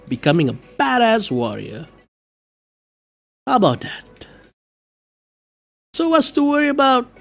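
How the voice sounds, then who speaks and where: A brash, playful woman from a game story, as a reader puts her on. She teases in slangy, theatrical lines with a very lively pitch, over faint music. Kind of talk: dramatic reading of game dialogue.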